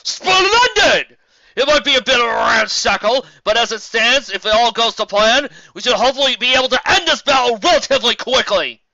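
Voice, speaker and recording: A booming griffin commander from a fantasy audio story. The voice is raised high and bright, with hearty, posh officer exclamations and jolly confidence. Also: male voice, lively intonation, fairly quick, dry recording, dull audio, exaggerated voice. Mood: jolly, rallying.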